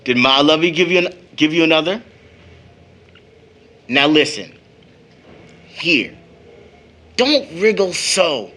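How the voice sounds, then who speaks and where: A man's voice: on the high side, very animated, pushed and bright, heavily acted. An actor playing a young man, a devoted son with a bitter, teasing streak, in a dramatic audio play. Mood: playful, affectionate. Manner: dramatic, emphatic delivery with long pauses. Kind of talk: stage-style audio drama acting.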